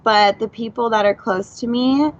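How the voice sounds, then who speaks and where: Chatty, effusive woman guest with a fairly high voice, heard through muffled lo-fi audio. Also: normal speed. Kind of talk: casual live chat.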